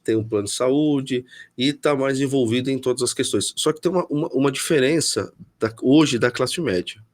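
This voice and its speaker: Paulistano man musing over politics. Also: mid-range voice. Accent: Paulistano Brazilian Portuguese. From São Paulo city, Brazil. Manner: thoughtful, restarts often, pauses to find words. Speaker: interview guest. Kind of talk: political interview.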